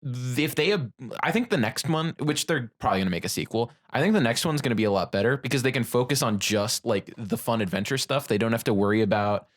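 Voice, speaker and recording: An animated man in a casual podcast chat about films. His mid-range voice swings widely in pitch as he rambles along, dropping "like" often. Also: fast talker, hardly any pauses. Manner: animated, rambling, peppers talk with "like". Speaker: podcast co-host. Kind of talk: casual film-discussion chat.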